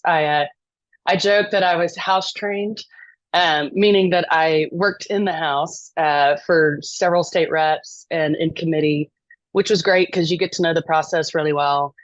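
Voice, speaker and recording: A woman's voice: lowish voice, dry recording, highs cut off. A middle-aged woman from East Texas with a Texan accent, talking as a podcast guest, chatty and self-deprecating with frequent ums. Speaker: lobbyist guest. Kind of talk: podcast interview.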